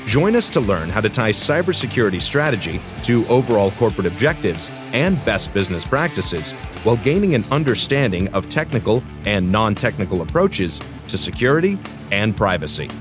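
A man's voice: lowish voice, big pitch swings, moderate pace. A man reading a scripted podcast intro in a polished announcer style. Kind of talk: scripted intro over music. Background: guitar music.

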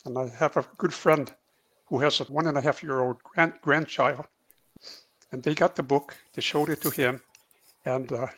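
Male guest illustrator from Hawaii, casual conversational delivery, husky mid-range voice. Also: medium pitch, steady tone, normal speed, fairly bright tone, low-quality recording. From Hawaii, United States. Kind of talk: casual anecdote in interview.

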